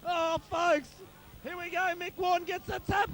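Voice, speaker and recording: Male Australian amateur footy caller, extremely high strained pitch, wildly excited and one-eyed, yells names over and over. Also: measured pace. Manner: shouty, wildly excited, repeats names and calls. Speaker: amateur football commentator. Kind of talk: excited partisan live sports commentary.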